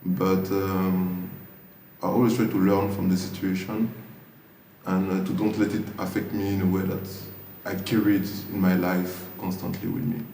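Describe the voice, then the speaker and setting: A man from Guadeloupe with a French accent, answering interview questions slowly and thoughtfully, often restarting phrases as he searches for words. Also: low voice, level tone, warm tone. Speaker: artist interviewee. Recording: roomy echo, distant mic, faint hiss.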